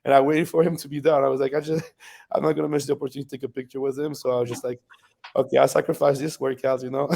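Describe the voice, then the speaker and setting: A man in his thirties with a French accent, a bodybuilder guest telling stories in a relaxed interview, laughing often. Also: on the high side, creaky. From France. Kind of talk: casual interview storytelling.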